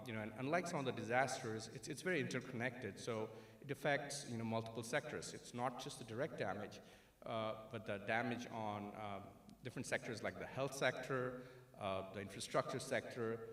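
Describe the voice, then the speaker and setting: A man from India giving a conference talk at a podium in a large auditorium, measured and explanatory with many fillers. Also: medium pitch. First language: an Indian language. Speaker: conference speaker. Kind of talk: conference presentation. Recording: roomy hall with long reverb.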